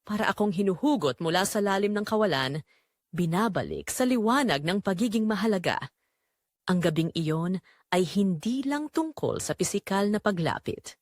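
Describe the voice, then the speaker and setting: A woman with a Filipino accent reading a scripted first-person romantic drama as a story narrator, in a measured, dramatic storytelling manner. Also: medium pitch, sing-song, no room sound, studio quality.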